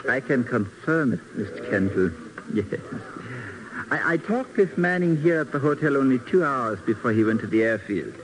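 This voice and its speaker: A man's voice: medium pitch, lively intonation, moderate pace, lightly acted. Guy playing slippery formal businessman, old radio drama, earnest and hesitant, pretty noisy audio. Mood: defensive, earnest. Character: evasive, self-justifying businessman moneylender, politely insistent. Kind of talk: 1950s radio drama acting.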